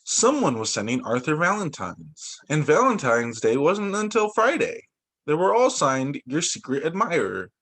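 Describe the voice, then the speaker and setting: Man reading storybooks with very lively pitch. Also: measured pace. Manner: animated, emphatic storytelling for young children. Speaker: teacher reading picture books to students. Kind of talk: expressive children's story read-aloud.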